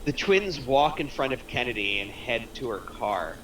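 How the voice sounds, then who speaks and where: A man narrates stage action from a script at a table read. His voice is medium-pitched, a little away from the mic, with faint background noise. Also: very animated, moderate pace, fairly bright tone, recorded from a distance, low-quality recording. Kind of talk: reading screenplay action lines aloud.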